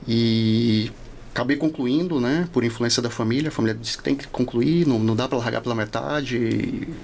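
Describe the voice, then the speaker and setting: Brazilian guy from the northeast, 40s, kinda gravelly, explaining stuff in a reflective interview chat, slight room noise. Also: mid-range voice, normal speed, darkish tone. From Salvador, Bahia, Brazil. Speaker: podcast guest, law professor.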